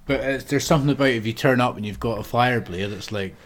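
A man's voice: medium pitch, quick pace. A man, chatting casually as a co-host, joking and swearing as he talks. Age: 30s. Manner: banters, swears, laughs often. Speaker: podcast co-host. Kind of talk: casual chat.

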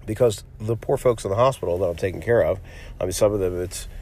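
A man's voice: low voice, fast, darkish tone. A physician hosting his own podcast while sick with a cold, sounding nasal and sniffly, talking in a reflective, motivational way with frequent fillers and restarts. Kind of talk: casual solo podcast monologue.

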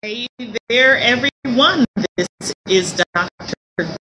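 A woman host, slightly hoarse, talking in a slow, reflective, casual way during a motivational livestream from a hotel room. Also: a little high, expressive. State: a little hoarse. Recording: noticeable background noise and music from outside. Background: music and activity outside.

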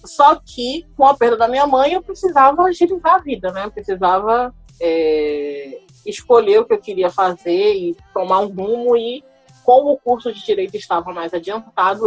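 A woman's voice: fairly high pitch, no room sound, rough recording. A woman in her thirties from Salvador, Brazil, a lawyer telling her own story on a podcast, thoughtful and hesitant with many fillers and restarts. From Salvador, Bahia, Brazil. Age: 30s. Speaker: guest lawyer. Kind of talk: podcast interview, personal story.

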